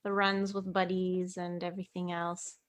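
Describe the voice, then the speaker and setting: A woman's voice: lowish voice, level tone, normal speed. A woman hosting a podcast interview, asking questions in a loose conversational way. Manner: conversational, searching for words with "uh" and "like". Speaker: podcast host.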